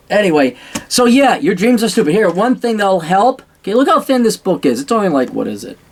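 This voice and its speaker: Blunt, sarcastic man with a lively pitch. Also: fairly quick. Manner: blunt, sarcastic, swears freely; rambling with frequent restarts. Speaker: vlogger giving blunt career advice. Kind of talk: casual monologue to camera.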